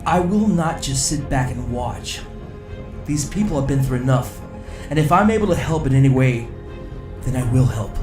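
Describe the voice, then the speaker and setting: A man acts out an earnest sci-fi security officer in an audio drama. He speaks formally and with measured resolve, in a roomy echoing space with music underneath. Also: mid-range voice, animated, distant mic, lightly acted. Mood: firm.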